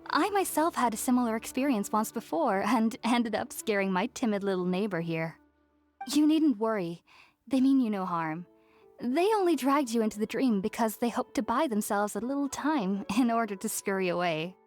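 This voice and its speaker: A woman playing a gentle, earnest young woman scholar in a video game, speaking in a polite, measured, reflective way. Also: a little high, lively intonation, close to an everyday voice. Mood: earnest, reassuring. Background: music.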